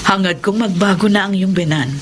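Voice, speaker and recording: A woman's voice: mid-range voice, a little fast, slight background noise, close to an everyday voice. A woman acting a kindly, civic-minded older matron in a Filipino radio drama, earnest and caring. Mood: fond, concerned.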